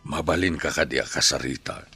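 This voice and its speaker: An actor playing an old grandfather in a radio drama, patient, measured and admonishing. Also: extremely low pitch, pushed and bright, soft background music.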